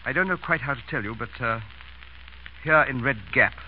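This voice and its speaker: An actor with an English accent playing a deferential but proud valet in old radio comedy, very polite and formal, with a hesitant stammer. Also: male voice, medium pitch, normal speed, slightly rough, lightly acted. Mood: hesitant pride. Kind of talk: 1940s radio comedy acting. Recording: muffled, hissy old radio broadcast.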